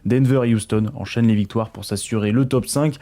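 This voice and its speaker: Chatty French sports news host, mellow voice. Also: male voice, lowish voice, steady tone, brisk. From France. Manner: chatty, addresses viewers directly, stumbles over foreign names. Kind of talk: weekly sports news roundup.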